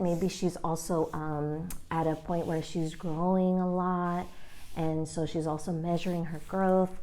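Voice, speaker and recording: A woman's voice: on the low side, darkish tone. A woman reading a children's picture book aloud and chatting about it as she goes, thinking aloud with frequent fillers. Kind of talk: read-aloud with casual commentary.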